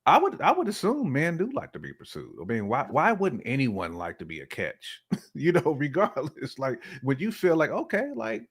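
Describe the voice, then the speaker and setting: A man's voice: mid-range voice, huge pitch swings, normal speed, slightly rough. A man giving his opinions in casual, colloquial conversation, often acting out imagined dialogue as he goes. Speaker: podcast guest or co-host.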